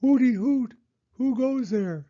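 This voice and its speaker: A man voicing an eager, self-assured owl in a children's storybook, with hooting, drawn-out exclamations. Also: very high voice, mellow, highs cut off, voice pushed up. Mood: challenging.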